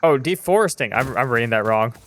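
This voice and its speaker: A man's voice: medium pitch, lively intonation, a little fast, a bit bright, slight background noise. A young man streaming a game and chatting with viewers in a loose, rambling way, full of fillers and casual swearing. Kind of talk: casual live-stream chat while gaming.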